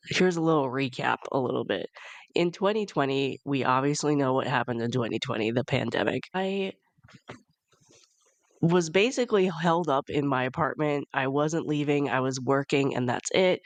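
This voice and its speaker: A woman's voice: rumbling low, dry recording. A young woman hosting a casual solo podcast, chatty and upbeat, sounding congested from a cold. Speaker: podcast host.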